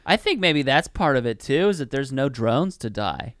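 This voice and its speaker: A man's voice: medium pitch, sing-song, normal speed, fairly bright tone, no room sound, clean studio sound. A man co-hosting a podcast, chatting casually about films in a profane, slangy way. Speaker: podcast co-host. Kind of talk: casual film review chat.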